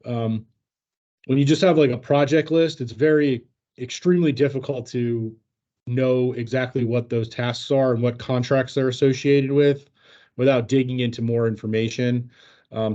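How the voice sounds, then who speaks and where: Male company trainer, mellow tone, halting explanatory delivery, informal Q&A, dull lo-fi meeting sound. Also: mid-range voice, steady tone. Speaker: company trainer answering staff questions. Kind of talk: informal work training discussion.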